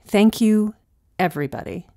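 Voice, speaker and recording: A woman's voice: medium pitch, expressive, moderate pace, creaky, mellow, no room sound, studio quality. A woman hosting a podcast, reading scripted thanks and announcements. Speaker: podcast producer and host.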